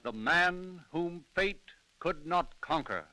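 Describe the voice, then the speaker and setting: A man's voice: on the high side, animated, takes it slowly, loud music behind the voice, poor audio. A man giving terse, solemn, scripted scene-setting narration in an old-time radio drama.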